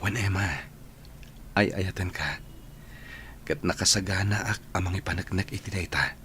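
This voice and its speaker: A man's voice: sits low, moderate pace, pushed and bright, slight background noise. A man playing a sincere, patient young village suitor in an Ilocano radio drama. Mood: earnest, tender.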